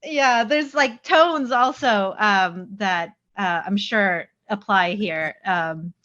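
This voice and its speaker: An American woman co-hosting a podcast in friendly casual chat, laughing often and filling pauses with "like" and "um". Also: medium pitch, a bit bright. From United States. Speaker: podcast co-host. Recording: dull dry lo-fi mic.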